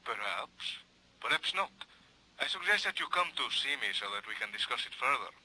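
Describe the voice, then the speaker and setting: An actor playing a shrewd, polite Mexican police lieutenant, speaking in a formal, measured, quietly menacing way in a 1950s radio drama. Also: male voice, medium pitch, sing-song, heavily acted, rough recording. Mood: silkily threatening.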